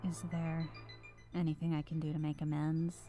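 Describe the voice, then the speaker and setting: A woman acts out a renegade cyborg witch, a former soldier weighed down by remorse. Her voice is lowish and very mellow, soft and confiding, with hesitant phrasing. It is a scripted ASMR roleplay with music playing underneath. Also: monotone, normal speed. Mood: remorseful, apologetic. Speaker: ASMR voice performer. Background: background music.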